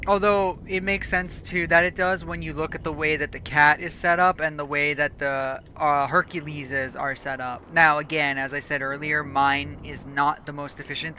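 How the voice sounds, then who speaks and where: Woman game streamer, casual rambling chat, self-correcting, hoarse edge, sharp bright tone, faint noise behind. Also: low voice. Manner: rambling, corrects herself mid-thought. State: losing her voice. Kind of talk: casual live gameplay chat.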